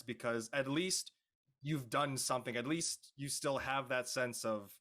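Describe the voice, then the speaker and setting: A man's voice: mid-range voice, a bit bright. A man from Canada chatting casually on a panel, earnest and emphatic, stumbling slightly over his words. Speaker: panelist in an online discussion. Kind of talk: casual chat.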